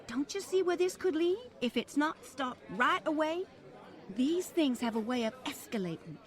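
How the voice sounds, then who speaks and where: A high, fluttery voice for a genteel grandmother of means, acted by a woman. She is prim and condescending, wrapped in affected politeness and easily flustered. The delivery is old-time radio drama. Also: lively intonation, normal speed. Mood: anxious, disapproving. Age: older.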